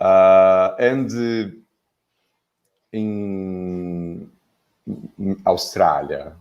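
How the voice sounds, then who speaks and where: A man with a Brazilian accent speaking English haltingly on a video call, with many hesitant fillers. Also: low-pitched, steady tone, dull audio. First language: Portuguese. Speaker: guest, psychologist.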